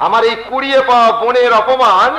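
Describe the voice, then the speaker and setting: A fiery, poor rickshaw puller declaims with stubborn pride in folk opera style. The voice is very high and bright, ringing around an echoey stage. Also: male voice, fairly even intonation, quick pace. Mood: resolute. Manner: defiant, declamatory, repeats phrases emphatically. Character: proud, hot-tempered poor rickshaw puller, protective brother.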